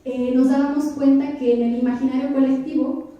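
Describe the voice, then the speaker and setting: A woman's voice: high voice, flat delivery, fairly quick, recorded from a distance, dull audio. Young woman lecturing in an echoey hall. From Oaxaca, Mexico. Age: 20s. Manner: reflective, addresses the audience with questions; frequent hesitation fillers. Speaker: poet giving a keynote lecture. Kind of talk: lecture to a university audience.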